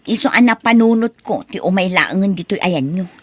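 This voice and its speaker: A woman with an Ilocano accent playing a sharp-tongued, headstrong young wife in an audio drama. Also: mid-range voice, fairly quick, natural voice. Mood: complaining. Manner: expressive, complaining.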